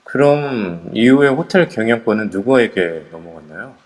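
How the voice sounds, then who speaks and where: A man's voice: on the low side, expressive, normal speed, in a large hall, lightly acted. A man playing an anxious young investigator. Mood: inquiring. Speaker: voice actor in a live amateur audio drama.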